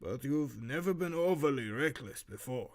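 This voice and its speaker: Man playing stern archery master for audio drama. Formal, measured admonishment, faint Romanian accent, mid-range pitch. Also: lively intonation, a little mellow, lightly acted. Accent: put-on, faintly Romanian. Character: stern male archery master rebuking a student.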